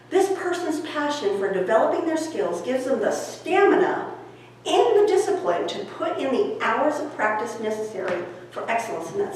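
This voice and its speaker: Woman reading her book out loud, really echoey w some background noise, explains stuff in a measured lilting way. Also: fairly high pitch. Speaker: author reading from own book. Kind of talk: scripted audiobook-style reading of nonfiction. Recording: very echoey room, distant mic, background noise.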